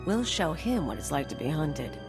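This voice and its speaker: A woman's voice: deep voice, sing-song, normal speed. A woman playing a cynical, brash young mercenary in a video game, curt, dismissive and sardonic. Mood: confident, bored. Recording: long reverb, music behind.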